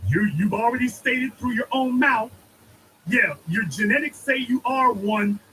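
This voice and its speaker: A man's voice: very high voice, unhurried, sharp tone, slight background noise, low-quality recording. A man giving an emphatic political monologue, preaching and repeating lines for effect. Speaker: commentator.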